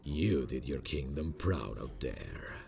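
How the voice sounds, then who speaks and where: A man playing a proud, charming demon king in an ASMR fantasy roleplay, speaking in a gravelly, grandiose, theatrical way. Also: rumbling low, lively intonation, a little mellow, soft background music, heavily acted. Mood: boastful, triumphant. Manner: grandiose, theatrical, slow and deliberate.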